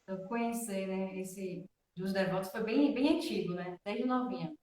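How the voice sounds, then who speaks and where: A woman's voice: medium pitch, moderate pace, darkish tone. A woman with a northeastern Brazilian accent, a guest telling personal stories casually and conversationally, often checking for agreement. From Salvador, northeastern Brazil. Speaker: interview guest, devotional student. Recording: roomy echo, distant from microphone.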